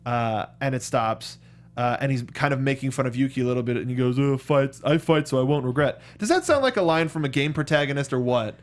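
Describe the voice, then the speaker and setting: A man's voice: medium pitch, brisk. An American man co-hosting a review show, talking casually with slangy, enthusiastic fan talk. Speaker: co-host of a film review show. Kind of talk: casual panel chat and review.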